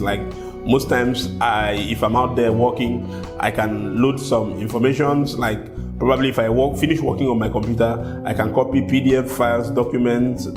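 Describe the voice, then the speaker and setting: A man from Nigeria with a Nigerian accent, talking casually to his viewers as a tech vlogger and sometimes repeating words while he thinks. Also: medium pitch. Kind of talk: casual talk to camera. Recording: echoey room with soft guitar music behind.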